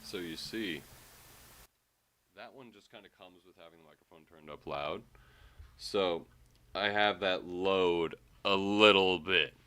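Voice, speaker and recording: A man with a deep, low-pitched voice talks casually, as a livestreamer would. His delivery is rambling and he often cuts himself off mid-thought while walking listeners through a technical demonstration. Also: measured pace, pauses often, pushed and bright, slight background noise. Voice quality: naturally deep voice. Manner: rambling, self-interrupting.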